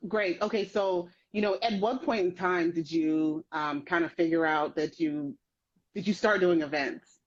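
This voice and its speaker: A woman's voice: lowish voice, highs cut off. A woman hosting a podcast, asking questions in a playful, slangy, casual way. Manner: playful, slangy, quick interjections. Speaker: podcast host.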